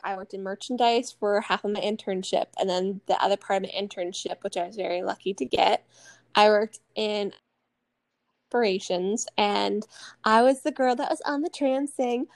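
A woman's voice: medium pitch, steady tone, moderate pace, dry recording. A woman in her early thirties co-hosting a casual personal chat, talking in a chatty, rambling way with plenty of "like". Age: early 30s. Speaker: podcast co-host.

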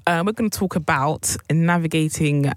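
A woman's voice: deep voice, moderate pace, no room sound, clean studio sound. A young woman with a girly voice, co-hosting a podcast in casual, chatty conversation. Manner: chatty, uses "do you know what I mean". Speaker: podcast co-host. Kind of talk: casual chat.

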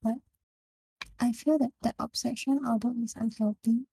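A young woman with a Singaporean English accent, casually discussing a book with friends and often pausing to find her words. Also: fairly high pitch, steady tone, creaky, warm tone, slightly rough, dry recording. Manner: halting, searching for words. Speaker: book club member.

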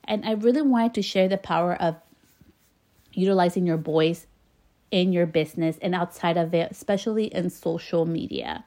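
A woman hosts her own podcast in a warm-hearted, encouraging monologue, with a faint Spanish-influenced accent colouring her English. Her voice sits a little on the low side, and she slips in small laughs between thoughts. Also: lively intonation, normal speed. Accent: slight Spanish-influenced. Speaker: podcast host. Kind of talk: casual motivational monologue.

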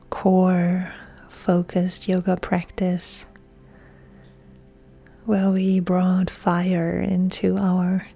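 A woman guides a relaxation meditation as a yoga instructor. Her voice is soft, breathy and mellow, often close to a whisper. She soothes with very drawn-out, unhurried phrasing over faint music. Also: on the low side. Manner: soothing, hushed, often near-whispered delivery.

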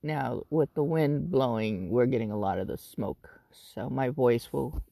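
Woman in her fifties, raspy, gravelly, rambling with ums, very dry close sound. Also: rumbling low, measured pace, warm tone, no echo. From Northern California. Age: 50s. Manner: rambling, with frequent ums and self-corrections. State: raspy from wildfire smoke. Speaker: podcast host. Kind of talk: casual personal monologue.

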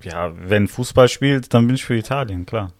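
A man's voice: fairly low pitch, normal speed, no echo. Slangy young man arguing his point. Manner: slangy, argumentative, frequent "weißt du, was ich meine". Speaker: podcast co-host. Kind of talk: casual podcast debate.

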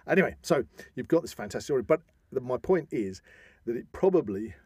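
A man hosting an informal discussion, explaining with halting enthusiasm and emphatic stresses. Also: medium pitch, lively intonation, darkish tone, breathy edge, no room sound, clean studio sound. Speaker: podcast host.

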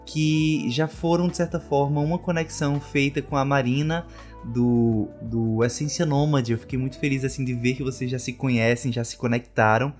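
A man's voice: mid-range voice, fairly even intonation, moderate pace, a little mellow, soft background music. A man hosting a podcast who welcomes his guests in an effusive, chatty way. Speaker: podcast host. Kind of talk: podcast interview.

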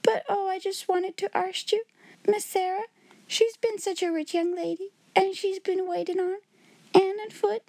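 A woman's voice: very high voice, steady tone, normal speed, gravelly, darkish tone, dry recording, pitched above the performer's own voice, low-quality recording. A woman voicing a timid young scullery maid girl who pleads through sobs and drops letters as she talks. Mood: sobbing.

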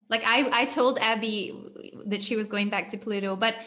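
A woman's voice: mid-range voice, fairly even intonation, normal speed, bright, forceful tone. A woman hosting a casual podcast interview, talking in a friendly way with fillers like "kind of" and polite thanks to her guest. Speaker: podcast host.

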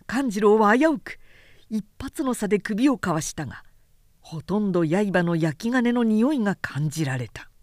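A woman reading a literary story as a dramatic audiobook narrator, in a measured, expressive storytelling manner. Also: mid-range voice, no echo, studio quality. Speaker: narrator, announcer.

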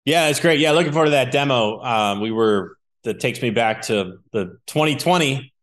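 A man hosting an interview podcast, upbeat and affirming, sometimes trailing off into a quieter, lower voice. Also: expressive, moderate pace. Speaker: podcast host.

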